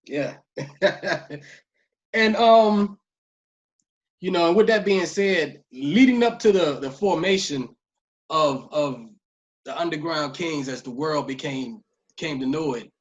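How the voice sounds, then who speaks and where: A man's voice: on the high side, animated, takes it slowly, pauses often. A man interviewing casually for a podcast, agreeable and often murmuring affirmations.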